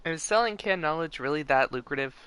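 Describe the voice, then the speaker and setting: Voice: mid-range voice, lively intonation, brisk, a loud crowd. A streamer with an androgynous voice chatting casually over live gameplay, rambling and easily amused. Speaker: game streamer. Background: game music.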